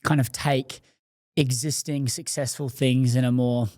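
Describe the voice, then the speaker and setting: A man's voice: mid-range voice, little pitch movement, measured pace, a little mellow, no echo, clean studio sound. A man hosting a podcast, asking long rambling questions full of fillers. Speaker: podcast host. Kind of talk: casual interview questions.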